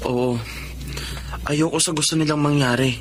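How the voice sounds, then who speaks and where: An actor playing a polite, level-headed young bachelor in a Filipino melodrama, speaking frankly and courteously. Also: male voice, fairly high pitch, steady tone, normal speed, natural voice. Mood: frank, confiding. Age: young adult.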